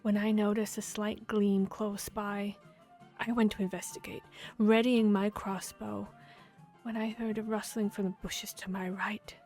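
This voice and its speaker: A woman playing a boastful, playful vampire hunter in a fantasy audio roleplay, speaking in a theatrical, expressive storytelling manner. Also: medium pitch, measured pace, close to an everyday voice. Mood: dramatic, proud. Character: boastful, playful female vampire hunter telling tavern tales. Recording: music behind the voice. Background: background music, tavern ambience.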